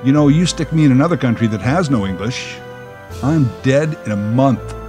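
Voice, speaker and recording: A man's voice: mid-range voice, lively intonation, moderate pace, over music. A middle-aged man, giving a sardonic, opinionated podcast monologue. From Canada. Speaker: podcast host.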